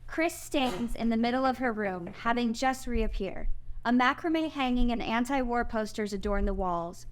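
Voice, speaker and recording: A woman reading out screenplay stage directions as the narrator at a table read. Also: on the high side.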